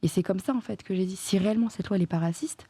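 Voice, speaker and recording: A woman's voice: mid-range voice, very fast, warm tone, breathy edge, no room sound. A French woman talking as a guest in a casual chat, earnest and emphatic as she tells what happened to her. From France.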